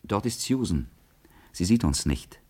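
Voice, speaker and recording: Brooding, watchful man, very deep and husky, solemn literary radio monologue. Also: big pitch swings, unhurried, a little mellow, exaggerated voice. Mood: intense. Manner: solemn, poetic declamation.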